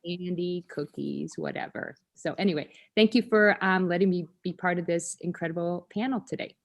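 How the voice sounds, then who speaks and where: A woman's voice: on the low side, moderate pace, vocal fry. A woman teacher librarian from Iowa introducing herself enthusiastically on a video-call panel. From Iowa, USA.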